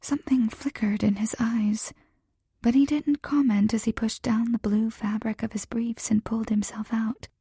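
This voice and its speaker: A woman narrating a first-person romance audiobook in a soft, breathy whisper. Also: fairly high pitch, fairly even intonation, normal speed, no echo.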